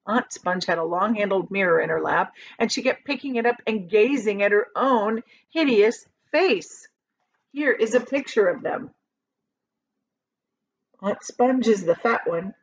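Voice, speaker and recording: A woman reading a children's book aloud like a teacher, in an expressive storytelling style. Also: medium pitch. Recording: slightly dull, lo-fi sound.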